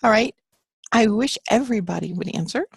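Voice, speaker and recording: A woman's voice: on the high side, animated, a little fast, vocal fry, breathy edge, dry recording, clean studio sound. A woman teaching a live online class from her home office, chatting with students and reading their answers aloud in an encouraging way. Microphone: computer mic on a video call.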